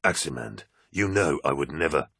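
A man's voice: rumbling low, expressive, normal speed, vocal fry, a bit bright, breathy edge, no echo, clean studio sound, voice pushed down, heavily acted. A man voicing an earnest, troubled, straight-laced warrior in an audiobook, with a questioning manner.

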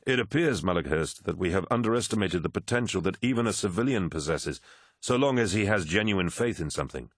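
A man voicing a commanding, brooding warlord in an audiobook, stern and deliberate. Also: low voice, animated, no echo, studio quality.

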